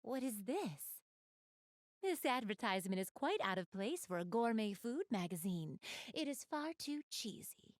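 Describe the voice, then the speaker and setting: Refined, higher-pitched young game goddess. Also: female voice, sing-song, measured pace, dry recording, clean studio sound. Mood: cheerful, amused. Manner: polite, elegant, formal diction. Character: gracious, refined young goddess, gently teasing and proper. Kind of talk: video game voice acting, speaking to the player.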